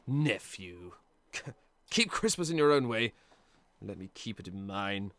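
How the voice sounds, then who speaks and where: An actor playing a bitter, scornful old miser in an audio play, with a sneering, curmudgeonly manner. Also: male voice, on the low side, very animated, slow, fairly bright tone, dry recording, full character voice. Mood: sarcastic, dismissive.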